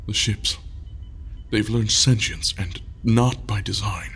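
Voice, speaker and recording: A low, husky young man gives short and guarded answers. He sounds worn down and anxious, and he is too proud to accept help. The voice comes from an audio drama. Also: takes it slowly, a bit bright, heavily acted. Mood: weary. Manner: weary, guarded and terse. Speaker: troubled leader.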